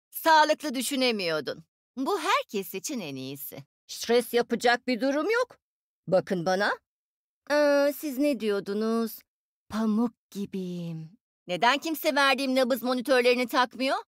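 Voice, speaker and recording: High-pitched, cocky young tech genius. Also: female voice, no echo, clean studio sound, full character voice. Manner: smug, teasing, expressive. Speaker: video game character. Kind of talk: video game voice lines.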